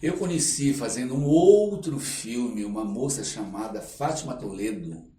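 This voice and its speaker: An older man with a Brazilian accent, telling anecdotes as an interview guest in a halting, reflective, casual way. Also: mid-range voice, lively intonation, warm tone. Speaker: interview guest, actor and screenwriter. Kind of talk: casual podcast storytelling. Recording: roomy echo, distant microphone.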